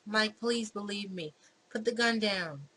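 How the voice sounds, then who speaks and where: A woman's voice: mid-range voice, unhurried. Woman acting a desperate, swearing young woman, noisy recording. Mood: desperate pleading. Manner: urgent, forceful, pleading. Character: desperate, foul-mouthed young woman pleading and accusing. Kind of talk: visual novel read-aloud acting. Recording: clearly noisy background.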